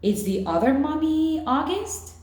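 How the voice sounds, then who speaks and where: A woman's voice: high-pitched, big pitch swings, measured pace, a little mellow, echoey room, voice pushed up. A woman voicing a puzzled, pleading schoolboy in a storybook read-aloud, with a questioning, coaxing manner.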